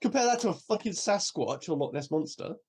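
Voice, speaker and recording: A man's voice: high-pitched, fairly quick, slightly rough. A Welsh-born man who speaks with an English accent, co-hosting a podcast in casual, laughing chat. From Wales. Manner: animated, laughs often, swears casually. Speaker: podcast co-host.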